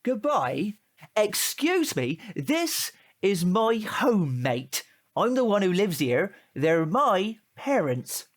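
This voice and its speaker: A man's voice: sits high, big pitch swings, slow pace, full character voice. Man playing an indignant British teenage tearaway, swooping delivery. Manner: indignant, slangy, wheedling. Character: cheeky, aggrieved, foul-mouthed male teenage tearaway. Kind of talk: comic audio drama acting.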